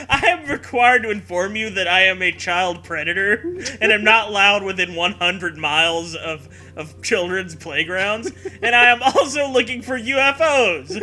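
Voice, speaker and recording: Male comedy host, very bright, lively swooping delivery, sarcastic story-reading with laughter. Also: sits high, a little slow. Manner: animated, sarcastic, often laughing through words. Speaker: podcast co-host. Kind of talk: comedic riffing while reading fan fiction aloud.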